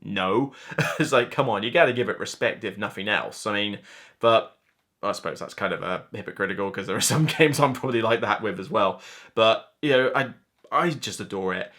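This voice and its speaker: A man's voice: on the low side, lively intonation, moderate pace, a bit bright. A man in his thirties giving an enthusiastic, informal and emphatic board game countdown review to camera, speaking indoors. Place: indoor room lined with game shelves.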